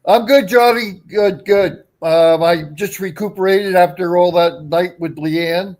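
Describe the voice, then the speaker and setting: A man with a high-pitched voice chats casually on a livestream. He rambles, repeats himself and talks bluntly, swearing as he goes. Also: measured pace, a little mellow. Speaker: livestream show guest.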